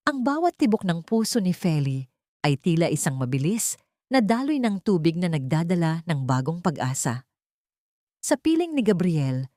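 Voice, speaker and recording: A Filipino woman narrates a scripted drama in a fairly low voice. Her delivery is measured, sentimental and literary, and the sound is very dry, with no room echo. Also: darkish tone. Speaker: story narrator.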